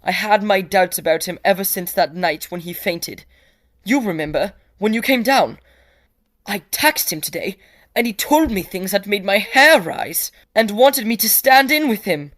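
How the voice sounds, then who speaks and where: A woman playing an anxious, earnest young gentleman in a period drama, pleading in formal phrases. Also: mid-range voice, lively intonation, normal speed, lightly acted. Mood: troubled, indignant. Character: anxious, earnest young clergyman's son warning a friend. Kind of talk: period audio drama dialogue.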